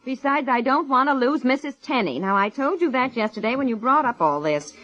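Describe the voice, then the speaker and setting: A woman's voice: high-pitched, lively intonation, a little fast, fairly bright tone, faint music behind. A chatty, easily flustered housewife from a 1960s radio comedy. She protests and rambles in a wifely way, on a dull, muffled old broadcast recording. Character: flustered, chatty housewife busy spring cleaning.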